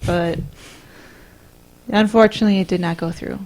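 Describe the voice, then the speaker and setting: A woman's voice: lowish voice, steady tone, normal speed, darkish tone, poor audio. A woman co-hosting a podcast in casual, conversational talk. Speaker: podcast co-host. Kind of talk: casual chat. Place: small room, round table.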